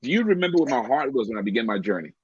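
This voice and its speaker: A man from Cameroon sharing a personal faith testimony as a podcast guest, animated, stumbling over repeated words and laughing often. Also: medium pitch, very fast, a little hiss or hum. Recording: dry, slightly dull lo-fi audio.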